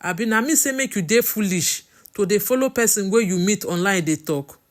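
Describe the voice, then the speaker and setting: A woman's voice: mid-range voice, expressive, fairly quick, pitched above the performer's own voice, lightly acted. Stern farm boss acted by a woman, Nigerian accent, scolding Pidgin, mocking, bullying, storybook villain. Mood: scornful, angry.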